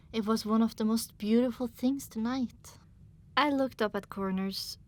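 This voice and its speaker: A woman reading scripted first-person story narration as an audiobook narrator. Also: a little high.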